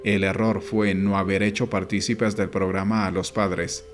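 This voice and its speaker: A man narrates an audiobook in a low voice with very little rise and fall. His reading is measured and formal, and music plays behind him. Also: little pitch movement. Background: background music.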